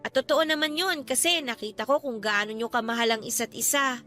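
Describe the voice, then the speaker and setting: A woman's voice: fairly high pitch, a little fast, pushed and bright, soft background music. A young Filipino woman acting in a radio drama as a supportive, affectionate little sister, sweet and encouraging. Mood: thankful, tender.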